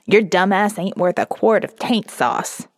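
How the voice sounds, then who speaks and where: A woman voicing a crude, menacing male constable who spits gruff insults in an audio novel. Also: mid-range voice, lively intonation, measured pace, big-room sound, pitched below the performer's own voice. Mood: gruff, hostile.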